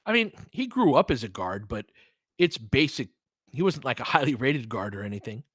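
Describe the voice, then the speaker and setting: A man works as an informal sports commentator, mixing play-by-play with opinionated analysis. His pitch swings around a lot from a middle register, and he talks in a conversational way with mild swearing. The sound is close and dry. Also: big pitch swings, normal speed, fairly bright tone, breathy edge, dry recording. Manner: conversational, opinionated, mildly profane.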